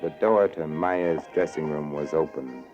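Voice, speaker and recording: Brooding noir killer over music. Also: male voice, extremely low pitch, unhurried. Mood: brooding, grim. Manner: brooding, dramatic. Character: haunted, wary male murderer narrating his own crime. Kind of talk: 1940s radio drama, noir first-person narration. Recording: dull old radio broadcast. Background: dramatic music.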